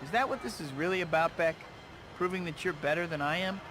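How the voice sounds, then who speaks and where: A man's voice: on the high side, fast, noisy background, close to an everyday voice. An actor playing a wisecracking young male superhero in a video game, wry, earnest and conversational. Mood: cocky. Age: young adult.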